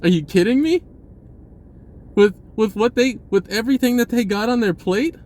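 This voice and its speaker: Rambling guy with a slightly high voice. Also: normal speed, darkish tone, a little hiss or hum. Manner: rambling, repeats words, swears casually, says "dude". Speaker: spiritual vlogger. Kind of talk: casual spiritual monologue.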